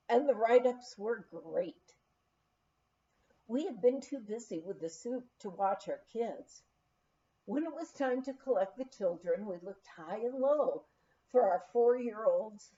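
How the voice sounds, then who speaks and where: A woman's voice: on the high side, animated, takes it slowly, dark tone, lo-fi sound. A woman reading a written memoir aloud as an audiobook narrator. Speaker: audiobook reader.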